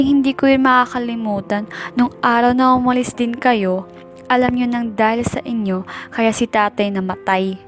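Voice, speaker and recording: Resentful Filipino teen daughter, woman performer; high voice, accusing and whiny, amateur radio drama over music. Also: close to an everyday voice. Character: resentful, sullen teenage eldest daughter. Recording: background soundtrack under the voice.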